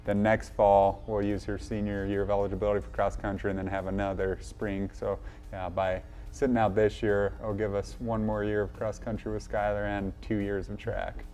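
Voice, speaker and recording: A man's voice: fairly low pitch, little pitch movement, normal speed, mellow. A man who coaches college runners, talking casually in an interview. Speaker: college cross country coach.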